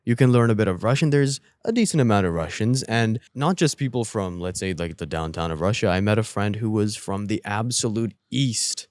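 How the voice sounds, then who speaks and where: A young man from India chats on a student podcast, speaking in quick, eager bursts when he poses a question. His voice sits at an ordinary middle pitch, and the sound is close and dry. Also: expressive, a little mellow, no echo. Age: young adult. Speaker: student co-host asking questions. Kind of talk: casual podcast chat.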